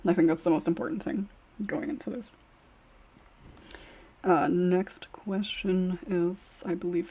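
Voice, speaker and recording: Husky-voiced woman hosting a podcast. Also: on the low side, a little mellow. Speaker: podcast host and script writer. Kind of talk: casual panel chat, reading out questions.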